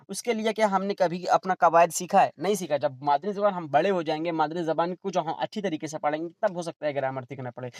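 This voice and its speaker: Man, online tutor, slightly raised pitch, repeats his own questions, dry booth-like recording. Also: no echo. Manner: repeats questions then answers them himself. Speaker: teacher. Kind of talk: online exam-prep lecture.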